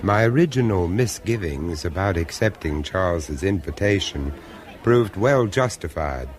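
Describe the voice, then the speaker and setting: An actor playing an urbane, reserved and wry gentleman, measured and refined, in a 1970s radio horror drama. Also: low-pitched, expressive, crowd noise. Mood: wry, ominous storytelling. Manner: measured, refined, theatrical delivery.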